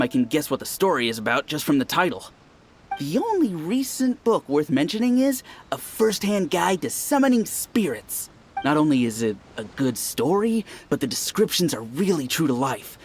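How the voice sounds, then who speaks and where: A woman's voice: deep voice, very animated, normal speed, a little hiss or hum. A woman voicing a seasoned, discerning fantasy reader in a video game, opinionated and emphatic. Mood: discerning, impressed.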